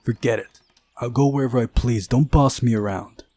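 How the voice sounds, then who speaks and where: A man's voice: medium pitch, moderate pace, mellow, dry recording, close to an everyday voice. A man voicing a brash, prickly teenage girl in a visual novel, blunt and defiant. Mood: huffy defiant. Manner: blunt, snappy.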